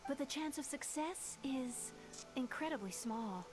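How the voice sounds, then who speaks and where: A woman playing an earnest young female refugee in a video game, telling things in a measured, sincere way. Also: sits high, animated. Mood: solemn recounting. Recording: game music behind the voice.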